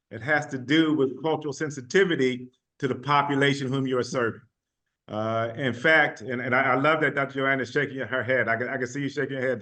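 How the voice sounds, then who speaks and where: Bright-voiced American man speaking on a panel. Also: medium pitch. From United States. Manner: earnest, emphatic, drawn-out stresses, frequent "uh". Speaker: university vice chancellor, panelist. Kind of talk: panel talk over video call.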